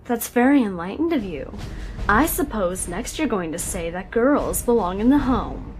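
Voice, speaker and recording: Woman as a strict, sharp-tongued cartoon mother. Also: mid-range voice, expressive, normal speed, lightly acted. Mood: resentful. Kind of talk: comic dub voice acting.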